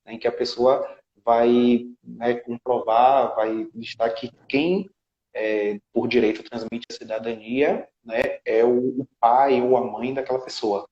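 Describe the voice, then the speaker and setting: A man's voice: mid-range voice, fairly even intonation, unhurried, a little mellow, reverberant, muffled recording. A man with a Brazilian Portuguese accent, a guest specialist explaining a legal process step by step and often checking in with tag questions. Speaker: citizenship law specialist, guest. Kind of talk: explanatory interview talk.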